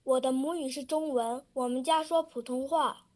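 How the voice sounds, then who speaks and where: Public speaker, high voice, steady reading of prepared remarks. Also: female voice, normal speed. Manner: steady recited sentences.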